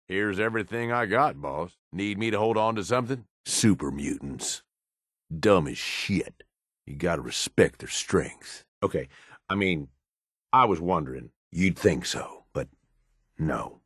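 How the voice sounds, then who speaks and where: A middle-aged man with an American accent playing a hard-bitten, cynical raider lieutenant in a video game, with a gruff, streetwise, sardonic delivery. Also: low voice, fairly bright tone, no room sound, clean studio sound, exaggerated voice. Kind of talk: in-game character dialogue.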